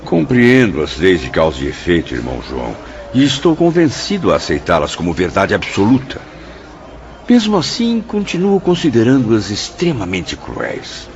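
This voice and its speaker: An actor in a Brazilian radio drama playing a troubled, doubting spirit, formal yet emotional and pleading, with a Brazilian accent. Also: male voice, lowish voice, huge pitch swings, normal speed, a little hiss or hum. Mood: reluctant. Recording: dull lo-fi audio with music behind.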